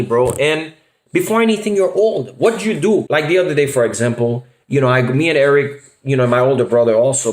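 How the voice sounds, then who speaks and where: A middle-aged man hosting a casual video chat in a roomy, echoey space. His voice sits at an average pitch. He talks earnestly and emphatically, repeating himself and dropping in slang like bro and man. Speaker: host of a reaction video channel.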